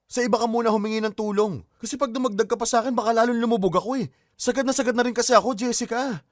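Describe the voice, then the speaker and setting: An exhausted student boyfriend in a radio drama, blunt and fed up. His voice sits unusually high for a man. He sounds strained and weary. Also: fairly even intonation, racing, no echo, clean studio sound. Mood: exasperated. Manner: blunt and exasperated, turning tearful.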